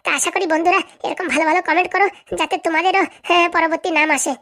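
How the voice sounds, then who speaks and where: Cheeky cartoon host, female performer: high-pitched, rapid, chummy, teasing comic monologue with mock complaints. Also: steady tone, fairly bright tone. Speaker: host answering viewer comments.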